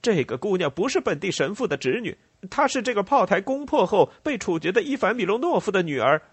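A man voicing an enraged, grovelling villain in a story, loud, frantic and emphatic as he denounces a rival. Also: sits high, big pitch swings, fairly quick, husky, no room sound, voice pushed up, exaggerated voice. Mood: frantic rage.

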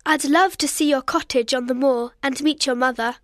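A woman voicing a lonely, sulky young girl in a storybook reading, speaking softly and plaintively. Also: high-pitched, fairly even intonation, normal speed, no echo, studio quality, voice pushed up. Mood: wondering. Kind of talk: audiobook character voice.

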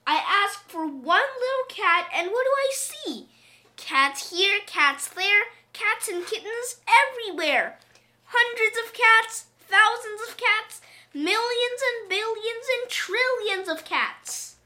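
A woman's voice: very high-pitched, lively intonation, takes it slowly, pushed and bright, pitched above the performer's own voice, full character voice. A woman voicing a very old woman in a storybook, an exasperated wife who scolds and exclaims. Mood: scolding, dismayed.

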